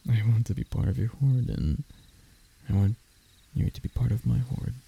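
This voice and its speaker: A man playing a lovesick young royal heir in an intimate romance roleplay, stammering and hesitant, with a husky, breathy voice. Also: mid-range voice, fairly even intonation, moderate pace, warm tone, highs cut off. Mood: smitten, tender. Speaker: voice actor in audio roleplay.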